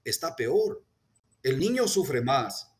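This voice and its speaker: A man speaking earnestly at a press conference, deliberate, with hesitations and restarts. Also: medium pitch, sing-song. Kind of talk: formal public statement.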